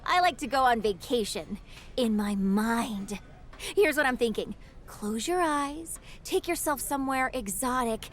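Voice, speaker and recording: A bubbly young woman in a game cutscene. Her voice is high and swings up and down a lot. She gushes playfully and gets excited easily. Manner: animated, gushing, playful. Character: bubbly, excitable young woman offering quirky stress relief. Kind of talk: video game cutscene dialogue.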